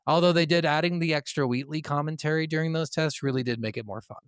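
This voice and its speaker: A man's voice: a little high, fairly even intonation, fast, smooth, dry recording, clean studio sound. A man co-hosting a podcast, chatting casually about games in an informal, conversational way. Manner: conversational, informal, says "like" often. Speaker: podcast co-host. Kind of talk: casual chat, game review.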